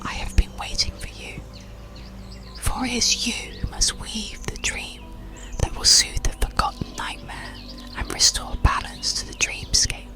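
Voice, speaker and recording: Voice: over music, close to an everyday voice. A narrator whispering as an ancient, solemn dragon. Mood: solemn welcome. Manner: grave, slow, whispered. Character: ancient, wise, solemn dream-guarding dragon. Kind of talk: whispered ASMR bedtime storybook.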